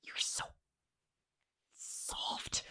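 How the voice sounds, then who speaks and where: A woman's voice: sharp tone, heavily acted. A woman voicing a possessive, lovesick young male killer robot in an intimate, coaxing ASMR roleplay monologue. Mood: tender, obsessive. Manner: slow, intimate, coaxing whisper.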